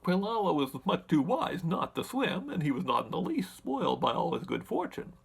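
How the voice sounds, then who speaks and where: A man voicing a talkative winged monkey king who recounts his tribe's history in an animated, deliberate storybook manner. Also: on the high side, vocal fry, husky, heavily acted. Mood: storytelling. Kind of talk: storybook character narration.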